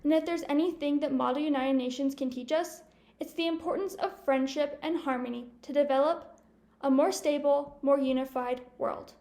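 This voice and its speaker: A woman's voice: high-pitched, fairly even intonation, moderate pace, dark tone. A teenage woman giving an upbeat, encouraging welcome address at a student conference. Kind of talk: prepared ceremonial speech.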